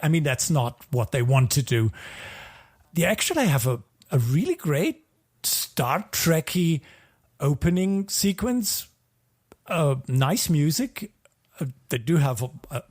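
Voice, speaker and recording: A man's voice: a little high, darkish tone. A man co-hosting a podcast in casual review chat, hesitantly qualifying his opinions and repeating words as he goes. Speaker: podcast co-host.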